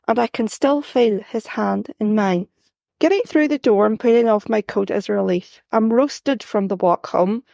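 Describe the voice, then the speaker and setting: Woman author reads own story aloud, calm, reflective, dry close sound. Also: fairly high pitch, vocal fry, no room sound. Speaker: author reading her story. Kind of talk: first-person story reading.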